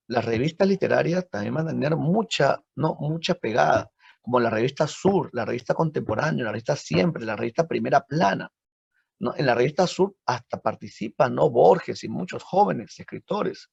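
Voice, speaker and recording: A man teaching a literature class, lively and full of rhetorical questions. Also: mid-range voice, normal speed, vocal fry, husky, no room sound. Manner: lively, asks rhetorical questions, frequent tag "¿no?". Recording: dull lo-fi dry audio.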